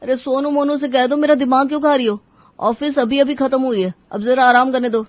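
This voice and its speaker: A woman voicing a lazy, irritable father who grumbles and complains in an animated moral story. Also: sits high, brisk, dry recording, lo-fi sound, close to an everyday voice. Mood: irritated, complaining. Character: lazy, grumbling grown man, father of two boys.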